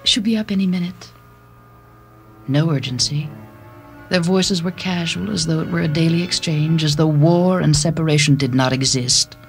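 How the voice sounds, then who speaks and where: A woman's voice: low voice, normal speed. A woman giving a dramatic scripted reading of a short story. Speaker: story narrator. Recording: music bed behind the voice.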